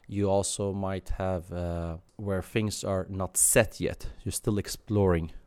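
A man in his thirties from Sweden talks in a deep, low voice. He answers in a thoughtful, halting way, full of fillers and restarts. The sound is close and dry. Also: little pitch movement, darkish tone, clear, resonant tone, dry recording. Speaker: guest. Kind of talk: interview answers.

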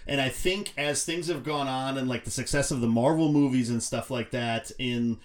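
A man co-hosting a podcast, discussing films casually in a measured, explanatory way. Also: mid-range voice. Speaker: podcast co-host.

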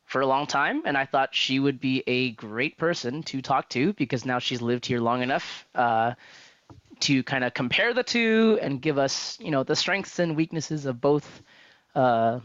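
A man's voice: mid-range voice, expressive, a little slow, fairly bright tone, no echo. Man host on a dull lo-fi mic. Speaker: podcast host. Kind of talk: casual podcast interview.

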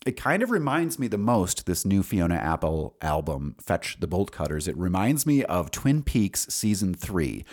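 A man's voice: deep voice, a little mellow. A man in his forties hosting a casual solo podcast, rambling and candid with some salty language. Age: 40s. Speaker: podcast host and songwriter.

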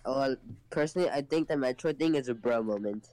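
A man's voice: medium pitch, fairly quick, a little mellow. A man talking casually on a podcast over voice chat, blunt but rambling, full of fillers. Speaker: podcast panelist. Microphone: online voice chat.

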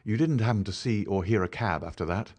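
A man voicing a sharp, clever male detective who asks quick, commanding questions. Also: lowish voice, husky. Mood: probing. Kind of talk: audiobook character voice.

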